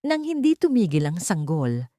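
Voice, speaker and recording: A woman's voice: fairly low pitch, very animated, moderate pace, dark tone, no echo, clean studio sound. A woman storyteller narrating a scripted audio drama in a dramatic style.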